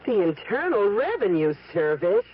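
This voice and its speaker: A woman's voice: mid-range voice, very animated, moderate pace, husky. An actress playing an eager, wide-eyed, gullible woman in an old radio advert. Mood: eager, awed. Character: eager, gullible woman having her palm read. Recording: tinny phone-like old radio recording.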